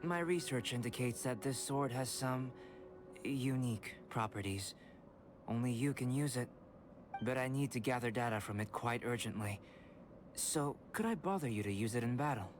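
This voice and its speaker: A cool, aloof young alchemist voiced by a man speaks at an ordinary pitch, measured and exact, never rushing a word. Game music plays clearly behind him. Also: close to an everyday voice. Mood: composed. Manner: measured, precise and unhurried. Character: calm young male alchemist, composed and aloof.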